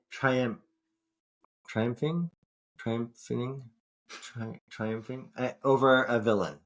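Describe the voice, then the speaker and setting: Rambling, profane male podcast host. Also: mid-range voice. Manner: rambling, profane, frequent restarts. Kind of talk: casual podcast chat.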